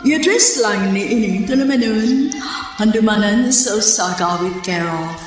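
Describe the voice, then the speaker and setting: A woman's voice: medium pitch, far from the mic. Cebuano woman radio host, echoey. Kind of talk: radio program outro.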